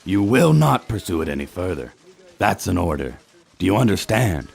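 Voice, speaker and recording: A man playing an exasperated, stern police chief with a gruff, husky voice in a comedic noir audio drama. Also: low-pitched, sing-song, normal speed, exaggerated voice. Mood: stern, angry. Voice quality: gravelly, husky.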